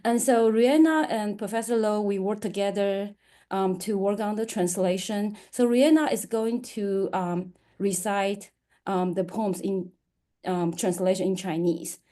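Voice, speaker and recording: Mellow-voiced woman presenting an event. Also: mid-range voice, steady tone, unhurried. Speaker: event presenter introducing poets. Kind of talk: informal spoken introduction.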